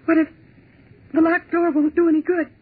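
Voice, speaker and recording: A woman's voice: very high-pitched, little pitch movement, brisk. An actress playing a timid young woman typist in a 1940s radio drama, speaking in a fearful, pleading, tearful way. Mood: terrified. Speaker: office typist. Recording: tinny 1940s radio broadcast.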